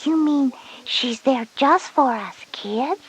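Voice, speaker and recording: A woman voicing a small, earnest, curious kindergarten girl with a breathy voice and soft, careful delivery. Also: sits high, takes it slowly, rough recording.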